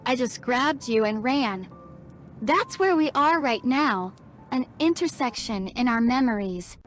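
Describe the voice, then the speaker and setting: A woman's voice: fairly high pitch, expressive, measured pace. A woman playing a solemn, resolute young princess in a video game, speaking earnestly and formally as if burdened by old guilt. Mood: haunted, grave. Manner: earnest, formal storytelling delivery. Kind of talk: dramatic video game dialogue. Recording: studio voice over background music.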